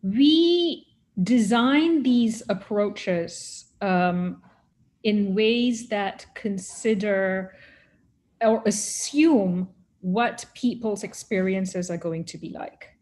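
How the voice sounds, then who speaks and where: A middle-aged woman from Malaysia gives reflective answers on a webinar panel. Her voice sits at a medium pitch, and she speaks earnestly, halting and repeating words before pressing her point with emphasis. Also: darkish tone. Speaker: humanitarian professional, panelist. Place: remote online webinar.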